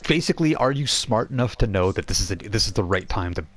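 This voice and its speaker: Low-voiced man running a tabletop game. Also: expressive, fairly bright tone. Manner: informal, halting, thinking aloud. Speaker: game master. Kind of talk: narrating a live online tabletop role-playing game. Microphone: voice chat microphone on a live stream.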